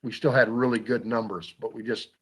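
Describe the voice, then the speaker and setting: A man's voice: mid-range voice, fairly even intonation, fairly bright tone, husky. A man hosting a hunting talk show, talking casually with lots of fillers and restarts. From Iowa. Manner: halting, many fillers and restarts. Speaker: radio show host.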